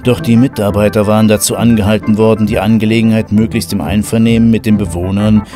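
Casting: male narrator for horror radio play, lowish voice, music underneath. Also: steady tone, quick pace. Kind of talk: scripted horror story narration.

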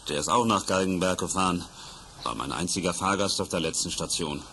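Role: a gruff country bus driver. Sound: hissy old tape. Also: male voice, deep voice, brisk, sharp tone. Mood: musing. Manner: folksy, teasing, curt when annoyed. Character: gruff, chatty middle-aged male country bus driver. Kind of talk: 1970s radio drama acting.

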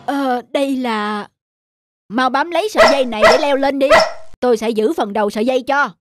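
A woman's voice: high voice, brisk, lightly acted. A woman voicing characters in a cartoon folktale with an earnest storytelling delivery. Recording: loud background music.

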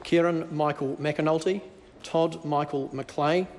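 A man's voice: fairly high pitch, fairly even intonation. A man with a New Zealand accent, a parliamentary clerk formally reading out names in a large wood-panelled chamber. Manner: measured, formal. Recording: reverberant chamber, faint hum.

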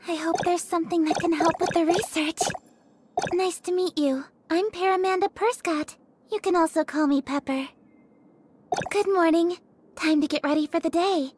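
A woman's voice: extremely high pitch, noisy background. A woman playing a sweet, earnest young female researcher in a video game, polite and caring. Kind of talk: video game character voice lines.

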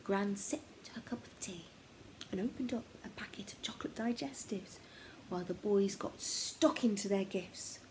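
A young woman reads a children's story in an expressive, theatrical way, often dropping to a hush, recorded in a small room at home. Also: mid-range voice, moderate pace, whispered, low-quality recording. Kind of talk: animated children's story reading. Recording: noticeable background noise, home recording.

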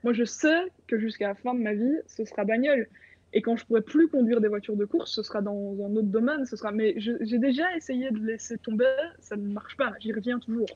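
A woman's voice: a little high, fairly quick, a little mellow, husky, no room sound. Casting: woman, 30s, from Belgium, candid informal guest in casual chat, close dry sound, slightly muffled call audio. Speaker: racing driver guest. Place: small room at home. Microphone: video call.

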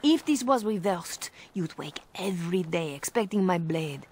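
Game villain: a woman playing a seductive, mocking spymaster bard, fairly low female register. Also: animated, normal speed, darkish tone, lightly acted. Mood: cold, accusing. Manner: mocking, silky. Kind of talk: dramatic game dialogue. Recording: faint background noise.

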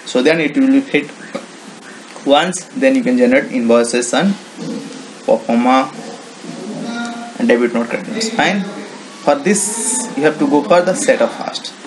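A man with an Indian accent presenting an informal software walkthrough in halting, repetitive, non-native English phrasing. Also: mid-range voice. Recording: noisy home-made screen recording.

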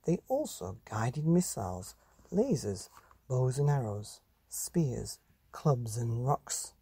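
Slow, husky, very mellow male storyteller. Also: mid-range voice, big pitch swings, no room sound. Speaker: podcast host and storyteller. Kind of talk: bedtime story narration.

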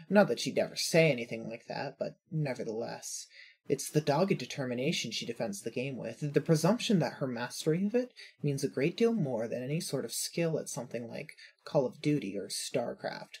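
Fiction podcast narrator, androgynous mid-pitched voice, heavily husky, reading scripted prose. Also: expressive, brisk, darkish tone.

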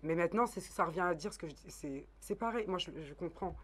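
A young woman taking part as a citizen in a televised debate, speaking earnestly and haltingly, with pauses. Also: fairly low pitch, crowd noise. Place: dark TV studio, seated at a desk. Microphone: desk mic.

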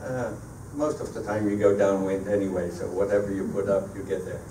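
A Dutch-accented native Dutch man in his middle years fielding questions haltingly at a club evening, heard from the back of a reverberant room. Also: fairly low pitch, level tone, dark tone. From Netherlands. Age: middle-aged. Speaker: guest speaker, sailor. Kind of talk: answering audience questions at a public talk. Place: meeting room at a sailing club. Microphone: handheld PA microphone, recorded by a camera across the room. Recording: echoey room, distant camera microphone, noisy.

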